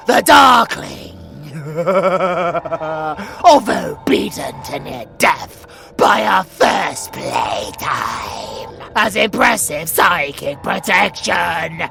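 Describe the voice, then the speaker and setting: A British man playing a sadistic supervillain in an audio roleplay, menacing and gleeful, with a theatrical, taunting, drawn-out delivery. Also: fairly high pitch, very animated, gravelly, heavily acted. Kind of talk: villain audio roleplay, dramatic acting. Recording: music behind the voice.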